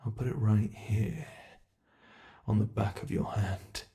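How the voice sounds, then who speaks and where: Slow, gravelly, taunting monster played by a man. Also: on the low side, warm tone. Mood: sinister, playful. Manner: taunting, theatrical, drawn-out menacing delivery with breathy whispers. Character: sadistic playful ancient male monster hunting human prey. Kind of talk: ASMR roleplay, scripted monologue.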